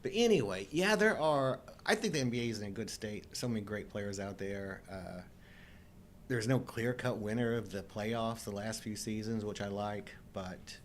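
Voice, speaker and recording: A man's voice: lowish voice, moderate pace, a little hiss or hum. A middle-aged man chatting casually as co-host of a live show. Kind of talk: casual chat.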